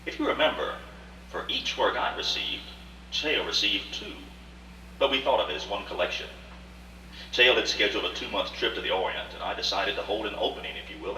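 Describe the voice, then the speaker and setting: A proud, self-justifying older gentleman collector acted by a man in a radio play. The voice is very bright and forceful, with formal, sweeping theatrical phrasing. It is recorded from a distance in a roomy space with faint hum. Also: on the low side, lively intonation, fairly quick. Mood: brooding. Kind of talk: live radio play acting.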